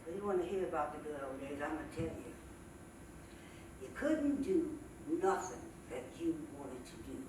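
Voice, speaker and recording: A woman performing as a sassy, cranky old woman comedian, telling stand-up stories in a folksy, drawn-out way with dramatic pauses. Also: deep voice, big pitch swings. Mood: sassy, scolding. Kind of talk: theatrical stand-up storytelling monologue. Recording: echoey, noisy stage recording from far off.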